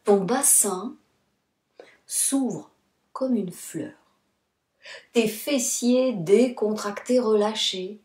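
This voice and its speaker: A woman yoga nidra instructor guiding a relaxation meditation in a soothing, deliberate manner, in short phrases with pauses. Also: mid-range voice, warm tone. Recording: roomy, echoing sound.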